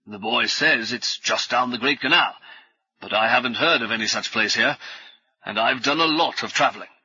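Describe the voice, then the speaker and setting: Man voicing an urbane older professor, crisp and bright. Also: mid-range voice, normal speed, dry recording, lo-fi sound. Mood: probing. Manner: light, urbane, gentlemanly, understated. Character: shrewd, genial older male cigar-smoking professor.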